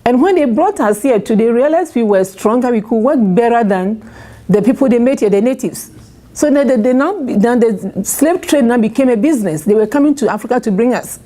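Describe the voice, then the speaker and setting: A woman's voice: a little high, lively intonation, brisk, dark tone. An older woman from Nigeria who grew up in Ghana, talking casually to an audience at a book event, with frequent fillers and restarts. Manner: conversational, many fillers and restarts. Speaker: author speaking at a book event. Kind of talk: unscripted personal talk to an audience.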